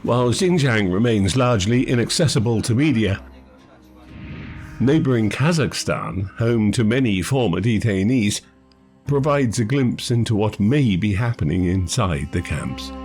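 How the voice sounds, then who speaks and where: A male narrator delivers scripted documentary voice-over. His voice sits a little low, and his delivery is measured and formal. Music plays faintly behind him. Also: expressive, darkish tone. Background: background music.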